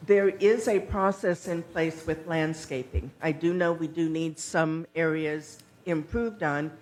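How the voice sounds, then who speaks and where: A woman giving informational remarks at a public meeting, explaining point by point and pausing often mid-sentence. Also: lowish voice. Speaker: board candidate at a community forum.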